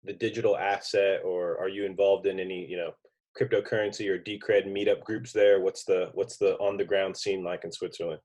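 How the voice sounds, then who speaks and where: Low-voiced young podcast host man. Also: normal speed. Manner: relaxed, polite, with fillers. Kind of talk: conversational interview.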